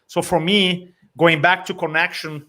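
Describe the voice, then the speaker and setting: A man in his fifties from Brazil with a Brazilian Portuguese accent, a communication coach telling stories in a lively way and acting out his own reactions. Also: high-pitched, unhurried. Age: 50s. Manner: animated storyteller, acts out his own reactions. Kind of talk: casual interview chat.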